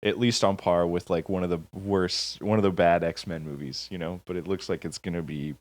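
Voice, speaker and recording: Man, lowish voice, casual gaming podcast banter, sweary, rambling, lots of fillers. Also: fairly even intonation, normal speed. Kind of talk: casual banter about games and films.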